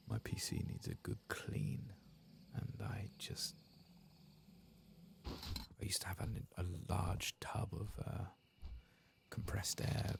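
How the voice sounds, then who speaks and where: Male ASMR game streamer: deep, heavily creaky, hushed near-whisper commentary over clearly noisy ambience. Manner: hushed, often drifting into whisper. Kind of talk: soft-spoken ASMR gameplay commentary.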